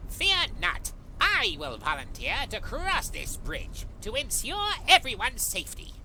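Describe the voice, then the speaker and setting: A woman's voice: high voice, wildly swooping pitch, unhurried, bright, forceful tone, slight background noise, heavily acted, rough recording. A woman playing a boastful, cheerful male skeleton hero in an audio drama, theatrical and confident. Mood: heroic. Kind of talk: audio drama character acting.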